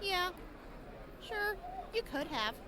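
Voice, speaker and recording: A woman acts a fiery young wasteland traveler in an audio drama. Her voice is very high and her manner blunt and combative, with noticeable outdoor noise behind her. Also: sing-song, takes it slowly, exaggerated voice. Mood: teasing. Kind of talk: post-apocalyptic audio drama acting.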